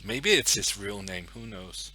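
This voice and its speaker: A man's voice: on the low side, expressive, unhurried, bright, forceful tone, husky. A young man with a Norwegian accent chatting casually on a live stream, thinking aloud and muttering to himself as he sets up. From Norway. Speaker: chess streamer.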